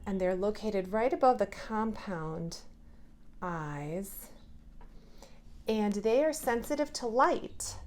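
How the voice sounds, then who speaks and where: Woman, preschool teacher, slow pace, simple instructive delivery for small kids, faint background noise. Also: mid-range voice. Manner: instructive, asks the listener simple questions. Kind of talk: hands-on lesson for young children. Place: indoors at a table, drawing on paper cards.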